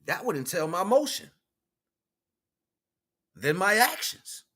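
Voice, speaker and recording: A man's voice: high voice, very animated, takes it slowly, bright, forceful tone. An American man giving an improvised, slangy spiritual talk, repeating phrases for emphasis and acting out bits of dialogue. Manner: slangy, conversational, acts out imagined dialogue. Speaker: spiritual teacher and livestream host. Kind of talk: improvised spiritual talk, casual street preaching.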